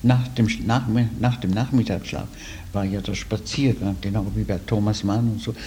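A man's voice: lowish voice, fairly even intonation, moderate pace, mellow, a little hiss or hum. An elderly man, reminiscing in anecdotes as an interviewee.

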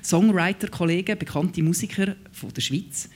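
A woman's voice: on the low side, moderate pace, darkish tone. A woman with a Swiss German accent hosting an interview in a playful, jokey way. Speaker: radio host.

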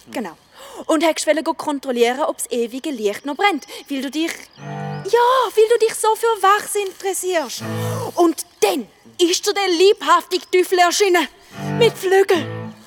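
A woman playing a cheeky, sharp-witted young sister with a Swiss German accent and a teasing manner, in a children's radio play. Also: very high voice. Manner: teasing, mock-dramatic. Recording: music behind the voice.

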